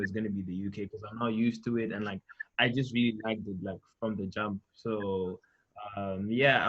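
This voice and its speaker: A man's voice: fairly low pitch, fairly bright tone. A man, chatting casually and informally on a panel. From Zimbabwe. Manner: informal, peppers talk with "like" and "you know". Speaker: medical student panellist. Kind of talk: casual chat. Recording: muffled online call audio.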